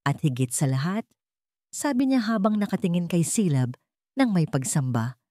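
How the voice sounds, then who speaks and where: Lady reading a drama story slow, lowish voice, dry no room sound. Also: sing-song, warm tone, dry recording. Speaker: narrator. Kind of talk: scripted storytelling narration.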